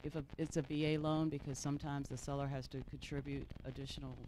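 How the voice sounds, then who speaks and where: A man's voice: on the high side, level tone, fast talker. A man who works as an agent, commenting from the class in a spontaneous, somewhat hesitant and rambling way. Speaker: class attendee, real estate agent. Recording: faint background noise in classroom.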